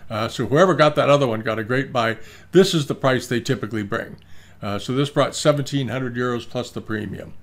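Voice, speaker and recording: Older male host, relaxed chatty auction talk, meandering with fillers, desk webcam recording. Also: mid-range voice, fairly quick. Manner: rambling, many fillers and restarts. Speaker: antiques auction commentator. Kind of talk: casual video commentary. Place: indoor room, screen-share with webcam inset.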